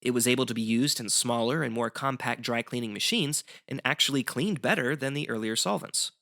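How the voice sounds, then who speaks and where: A man's voice: mid-range voice, a little fast, gravelly, a bit bright. A man as a guest explaining history in semi-scripted educational banter, articulate and wry.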